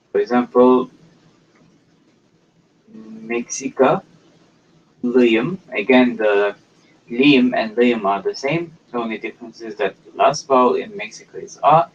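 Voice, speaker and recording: A man teaching a language lesson, explaining step by step in a hesitant, halting way with many pauses. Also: medium pitch, a little hiss or hum. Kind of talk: slow explanatory lecture. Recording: dull lo-fi recording.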